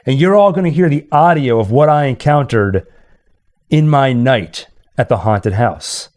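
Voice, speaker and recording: A man's voice: mid-range voice, animated, a little slow, darkish tone. A man hosting in a casual, chatty style, explaining things to his friends as he goes. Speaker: host and narrator of radio play.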